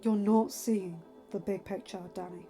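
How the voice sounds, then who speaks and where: A woman voicing a stern, protective young lord and father in a fantasy story, arguing with forceful, emphatic reason over music. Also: medium pitch, steady tone, unhurried, natural voice. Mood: softened, reasoning.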